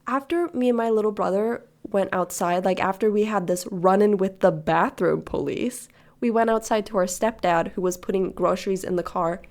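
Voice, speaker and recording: A woman's voice: mid-range voice, moderate pace, darkish tone. A nineteen-year-old woman from Sweden who grew up in Hong Kong, chatting casually and rambling over gameplay as she talks straight to her viewers. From Sweden, raised in Hong Kong. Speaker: gaming video creator.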